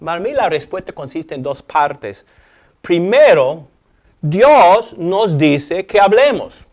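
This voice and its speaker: A man with an Alabama accent leads a Bible study in Spanish as a native English speaker. His voice sits high and he keeps things animated, firing questions at his partner. Now and then he drops in playful sound effects. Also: slow. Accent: American English (Alabama). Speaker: Bible teacher.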